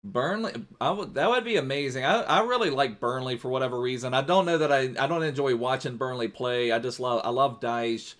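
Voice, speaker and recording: A man's voice: mid-range voice, brisk, few pauses, a bit bright. A man streaming a football video game live, chatting casually in a rambling way and thanking viewers as he plays.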